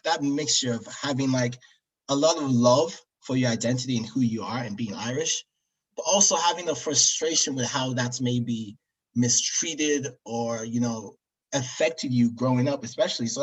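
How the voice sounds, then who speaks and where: Husky-voiced young man interviewing casually. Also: medium pitch, low-quality recording. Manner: laid-back, many fillers like "like". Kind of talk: casual conversation. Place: room at home. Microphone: video call.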